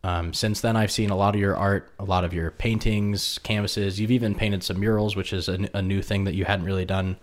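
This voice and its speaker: Very low-voiced laid-back podcast host. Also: male voice, fairly quick, hardly any pauses. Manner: relaxed, friendly, lots of fillers. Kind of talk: casual interview chat. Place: home kitchen.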